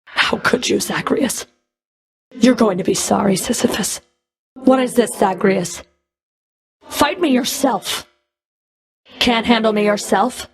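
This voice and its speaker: A cold female Fury, a whip-wielding enforcer of the underworld in a video game. Her delivery is slow and deliberate, with heavy vocal fry and a breathy edge. She speaks in curt, scornful commands and taunts. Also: fairly high pitch. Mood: scornful, angry. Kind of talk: video game combat voice lines.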